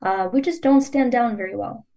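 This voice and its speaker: Woman, born in Ukraine, native Ukrainian speaker, slightly high voice, earnest, emphatic, coaching tone, podcast guest. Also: moderate pace, mellow. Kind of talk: podcast interview.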